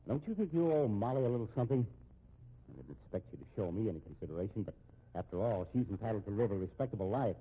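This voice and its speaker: An actor playing an anxious, respectable American husband in a 1950s radio drama, speaking in a measured, pointed way. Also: male voice, lowish voice, sing-song, slightly rough, full character voice. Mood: pleading, reasoning. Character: respectable, anxious, shrewd husband held hostage at home. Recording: muffled, tinny old radio recording.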